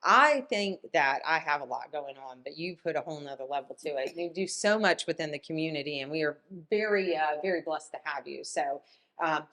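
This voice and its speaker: A woman hosting a community podcast, upbeat and quick to praise her guest. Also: deep voice, lively intonation, pushed and bright. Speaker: podcast host. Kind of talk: casual interview chat. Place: studio or sit-down room.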